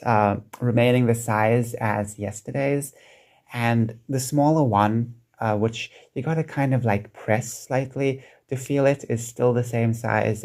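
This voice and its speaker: A man chatting casually in a solo vlog, hesitant with lots of restarts and fillers, his throat sounding croaky. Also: mid-range voice, darkish tone. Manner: hesitant, many restarts and ums, enthusiastic. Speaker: vlogger.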